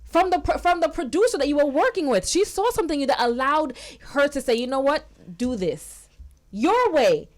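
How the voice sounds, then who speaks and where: A woman hosting a chat show in a high voice. She gushes over her guest and rallies her listeners with animated, effusive energy. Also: a little fast. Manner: effusive, animated, hypes up the audience. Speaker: podcast host. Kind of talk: enthusiastic interview chat.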